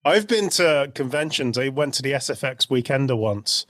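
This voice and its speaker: A man's voice: mid-range voice, lively intonation, moderate pace, fairly bright tone, no echo, studio quality. A man co-hosting a podcast, explaining things in an easygoing, casual chat about pop culture. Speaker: podcast co-host.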